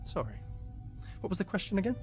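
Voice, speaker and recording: An actor playing a bitter, disillusioned working-class husband in a radio play. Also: male voice, high voice, big pitch swings, quick pace, music in the background. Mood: bitter, wry. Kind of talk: radio play monologue acting.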